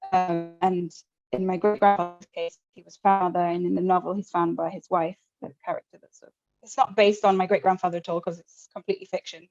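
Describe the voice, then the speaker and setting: A woman author with a mellow, warm voice. She reads her prose in a measured way and answers in halting, thoughtful speech. The audio sounds dull and lo-fi. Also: on the low side, level tone, faint music behind. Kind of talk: reading from her novel, then interview answers.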